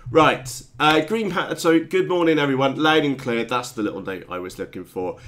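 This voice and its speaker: A man's voice: mid-range voice, moderate pace, fairly bright tone, a little hiss or hum. A man hosting a casual hobby chat show, sounding blocked up and congested from a cold, rambling with many ums and restarts. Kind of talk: casual live-stream chat.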